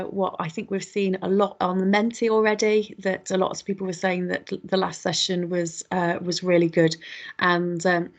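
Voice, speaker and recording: A woman hosts an online webinar, her voice a little croaky today. She talks in a chatty, friendly way, often pausing with ums and correcting herself mid-sentence. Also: on the low side. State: slightly croaky voice. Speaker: webinar host. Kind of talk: welcoming an online audience, housekeeping.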